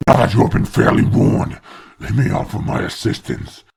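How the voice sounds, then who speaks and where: A man voicing a grandiose, taunting demon lord in a comic dub, with a gravelly, theatrical delivery. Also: lowish voice, very animated, moderate pace, a little mellow, full character voice. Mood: gloating, lecturing. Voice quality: gravelly, creaky. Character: grandiose demon lord, menacing mocking father of hellspawn.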